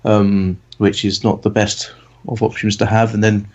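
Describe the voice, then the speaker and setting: A man's voice: on the low side, level tone, a little slow, darkish tone. A man in his late thirties from England, co-hosting a casual football podcast, talking in a rambling, hesitant way full of ums. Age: 30s. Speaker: podcast co-host. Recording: dull lo-fi audio.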